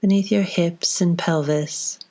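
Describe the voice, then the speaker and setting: Low-voiced woman guiding a slow meditation. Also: fairly even intonation. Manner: slow, gentle instruction with long pauses. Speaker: meditation guide. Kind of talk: guided body scan meditation.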